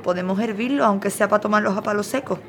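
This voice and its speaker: An actress playing a gentle, kind young woman sharing food in a radio drama. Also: medium pitch, fast talker, natural voice. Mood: practical. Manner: gentle, soothing. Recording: noisy background.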